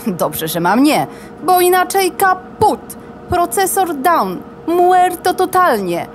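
A woman's voice: extremely high pitch, big pitch swings, slow pace, slight background noise, heavily acted. A woman playing a stressed, fussy computer cooling fan in a children's radio play, agitated and grumbling. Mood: complaining.

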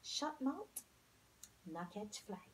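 A woman's voice: mid-range voice, very animated, extremely slow, a little hiss or hum. Woman doing a sly, fat, secretive villager. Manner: sly, evasive, chuckling. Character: fat, secretive, good-humoured village man.

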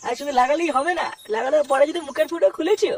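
A performer voicing a short-tempered, menacing male gang boss in a cartoon comedy, with a creaky voice and threatening delivery. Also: high-pitched, rapid-fire, a little mellow. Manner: swearing, threatening. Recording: noisy, slightly distant, with ambience.

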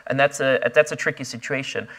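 Man, young, lapel mic, conference stage, restless, filler-heavy, medium pitch. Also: steady tone, a bit bright. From Austria. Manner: fast, restless, many fillers and restarts. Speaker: invited speaker. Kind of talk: onstage conference conversation. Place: conference stage before an audience.

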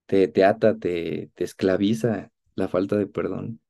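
A man of about thirty with a Mexican accent, giving an earnest, conversational personal testimony in a halting way over a video call. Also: low-pitched, steady tone, creaky, mellow. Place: indoor room at home, on a video call.

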